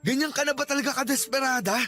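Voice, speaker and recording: An actor playing an agitated, indignant cheating husband in a radio drama. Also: male voice, extremely high pitch, fast talker, bright, forceful tone, faint music behind, exaggerated voice. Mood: accusing. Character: cheating husband, agitated, fending off his sister-in-law. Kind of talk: Tagalog radio drama acting.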